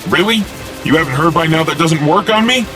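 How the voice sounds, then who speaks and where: A man's voice: fairly high pitch, lively intonation, fairly quick, over music, lightly acted. A man playing a cocky, menacing young superhero vigilante in an audio drama, taunting and threatening.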